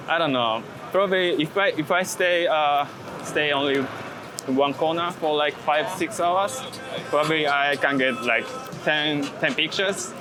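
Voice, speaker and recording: Soft-spoken man, halting English, street noise. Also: on the high side. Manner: halting English with many fillers and restarts. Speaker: interviewed street photographer. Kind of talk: casual walking interview. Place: city street outdoors. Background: traffic and street noise.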